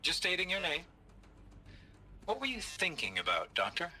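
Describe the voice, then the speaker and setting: A man is a formal official in a game cutscene, faint music behind him. His voice is very sharp and forceful, his manner controlled, clipped and insistent. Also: high-pitched, wildly swooping pitch, normal speed, full character voice. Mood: probing. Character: formal, insistent male official questioning recruits. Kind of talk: dramatic video game acting.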